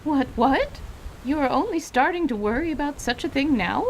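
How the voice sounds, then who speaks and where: A woman's voice: sits high, animated, moderate pace, a little hiss or hum, low-quality recording. A woman playing an elderly, teasing, fondly scolding grandmother in radio theater, with quaint, folksy, theatrical phrasing. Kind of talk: dramatized radio theater acting.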